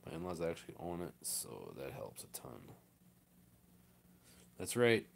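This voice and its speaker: A man's voice: deep voice, huge pitch swings, a little slow, vocal fry, slight background noise. A man, a farmer chatting casually as he hosts a live stream and answers comments in a plainspoken, rambling way.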